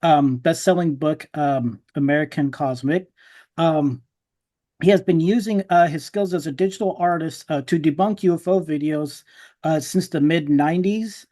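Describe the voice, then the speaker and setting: A man hosts a podcast in a somewhat high-pitched voice. He speaks informally, in a loose, conversational interview style, addressing his listeners and his guest. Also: normal speed, mellow. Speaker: podcast host. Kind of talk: casual podcast interview chat.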